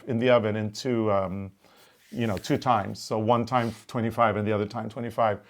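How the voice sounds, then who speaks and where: A man co-hosting a knitting show, chatting in a relaxed way and explaining things step by step. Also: mid-range voice. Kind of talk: casual chat.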